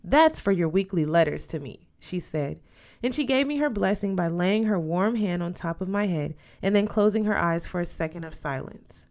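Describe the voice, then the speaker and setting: A woman reading a first-person story aloud as an expressive scripted narration. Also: fairly low pitch, moderate pace. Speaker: audiobook reader.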